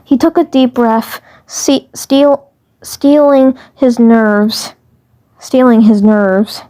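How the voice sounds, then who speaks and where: A woman reading story narration for an amateur fan dub, halting and often repeating words and phrases. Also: on the high side, fairly even intonation, dark tone. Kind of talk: story narration read aloud.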